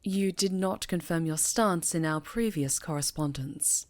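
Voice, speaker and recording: Casting: dignified witch coven leader, played by a woman, guarded and earnest, low register, slow delivery, fantasy audio roleplay. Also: no echo. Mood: guarded, businesslike. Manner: formal, measured, earnest. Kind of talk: ASMR fantasy roleplay acting.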